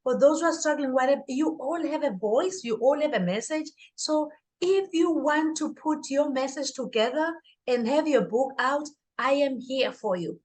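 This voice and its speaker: A woman's voice: high-pitched, lively intonation, moderate pace, a little mellow, rough recording. A woman speaking as an interview guest, a life coach and author, in an earnest, motivational way. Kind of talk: interview answer.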